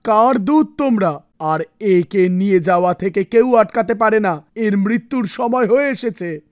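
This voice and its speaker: Woman playing a stern, lowered-voice messenger of death. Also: lively intonation, measured pace, darkish tone, no room sound, clean studio sound, natural voice. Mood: angry, commanding. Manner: imperious, challenging. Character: fearsome male death-god messenger, stern and commanding. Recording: music bed under the voice.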